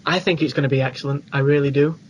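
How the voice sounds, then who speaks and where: Higher-voiced British man, slightly noisy recording. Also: steady tone, quick pace. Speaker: film podcast co-host. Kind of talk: casual film review chat. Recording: clearly noisy background ambience.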